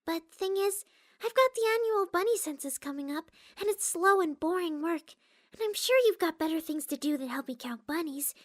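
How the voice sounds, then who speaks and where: A woman voicing a gentle, timid young female cartoon pony, reading a letter aloud with sweet hesitancy. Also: very high-pitched, animated, normal speed. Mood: timid, reluctant.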